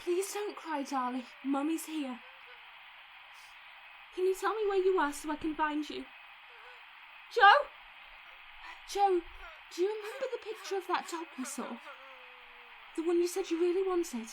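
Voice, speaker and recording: A woman's voice: very high-pitched, expressive, unhurried, long pauses, fairly bright tone, a little hiss or hum, heavily acted, low-quality recording. A woman playing a distraught, protective young mother in an audio drama, emotional and pleading. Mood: soothing, pleading.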